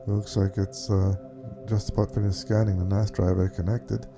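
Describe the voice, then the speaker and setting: A man giving a casual, unscripted software walkthrough, explaining things step by step in an informal way. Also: lowish voice, little pitch movement, moderate pace, creaky, over music. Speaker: tutorial presenter.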